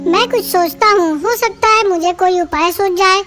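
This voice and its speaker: A woman's voice: very high-pitched, moderate pace, fairly bright tone, soft background music. A woman voicing a small, eager male rabbit who used to work as a spy and wants to help, in a cartoon story. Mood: earnest. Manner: eager, helpful. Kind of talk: cartoon voice acting.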